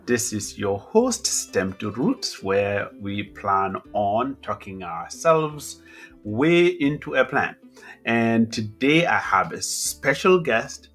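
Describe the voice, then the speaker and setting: A man's voice: on the low side, lively intonation, slow, fairly bright tone, soft background music. A man hosting an interview podcast, greeting his audience playfully and asking his guest questions. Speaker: podcast host.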